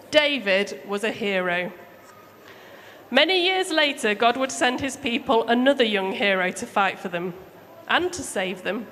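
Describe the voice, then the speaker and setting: A woman telling a Bible story to children live at church, theatrical and emphatic with deliberate phrasing. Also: mid-range voice, a bit bright. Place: large room, live church service. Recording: echoey hall, clear background noise.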